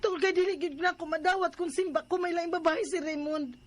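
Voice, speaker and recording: A woman's voice: very high-pitched, fairly even intonation, fast. A woman playing an emotional, pleading young wronged mother in a radio drama, with a Cebuano Filipino accent. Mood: anxious, sorrowful. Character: Liza, distraught young mother wronged by her jealous partner.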